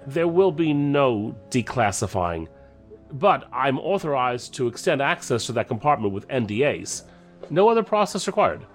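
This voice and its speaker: An actor playing a self-assured, guarded counterterrorism agent in an audio drama, formal and deliberate with dramatic pauses. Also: male voice, mid-range voice, very animated, soft background music, lightly acted. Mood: guarded, official.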